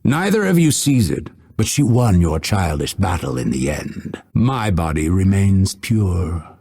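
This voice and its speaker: An actor playing a proud, scholarly male assassin in a video game, speaking in a measured, formal, dryly witty and haughty way with a husky voice. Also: sits low, very animated, dark tone, full character voice. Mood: cool, dry. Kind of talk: video game character voice lines.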